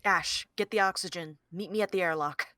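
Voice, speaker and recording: A woman's voice: on the low side, fairly quick, bright, forceful tone. A woman playing an anxious, questioning spaceship crew member in a table read. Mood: urgent.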